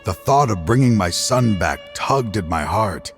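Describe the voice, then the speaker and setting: Over clear background music, a weary middle-aged father with a very low voice. He is a grave, remorseful official in a game drama, resolute in his halting delivery. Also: lively intonation. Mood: grave, anguished. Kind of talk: dramatic video game dialogue.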